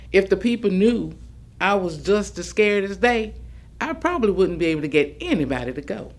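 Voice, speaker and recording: Plucky, persuasive older woman played by an actress, folksy stage monologue, emphatic, very lively intonation. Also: fairly low pitch, exaggerated voice. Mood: earnest, firm. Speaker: stage actress performing oral history. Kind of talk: dramatic monologue, staged reading.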